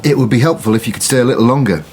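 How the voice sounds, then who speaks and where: An actor playing a gentle, patient male memory specialist who questions a witness in a measured way in a radio drama. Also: medium pitch, slight background noise, lightly acted. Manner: gentle, measured, soft-spoken.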